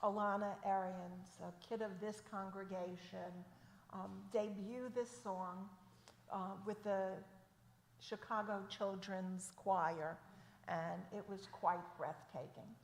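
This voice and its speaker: A woman leads a synagogue service in an unhurried, measured voice. Her words ring out through an echoey sanctuary with a long reverb tail. Her pitch sits in an ordinary middle range. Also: steady tone, a little hiss or hum. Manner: slow, measured reading of names. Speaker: service leader. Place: temple sanctuary.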